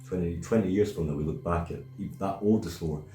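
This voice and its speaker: A man of about thirty hosting a reflective, casual discussion, speaking in a halting, thoughtful way. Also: low-pitched, mellow. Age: around thirty. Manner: halting, thoughtful, repeats words. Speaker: video host. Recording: roomy echo, faint music.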